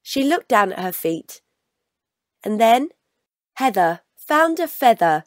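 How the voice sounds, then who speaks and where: Female storybook reader, fairly high voice, unhurried delivery, expressive, very dry close sound. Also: no echo. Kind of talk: scripted children's story read-aloud.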